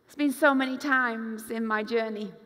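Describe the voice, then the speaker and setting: Woman, pastor, high voice, echoing hall, lively sermon. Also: normal speed. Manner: animated preacher, acts out quoted voices, moves around the stage. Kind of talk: conference sermon. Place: large dark stage with hall reverb. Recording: long hall reverb.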